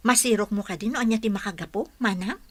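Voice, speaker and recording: A woman playing a cheeky, dutiful young caregiver in a radio comedy drama, brisk and playful, with faint noise behind her. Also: mid-range voice, close to an everyday voice. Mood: gossipy.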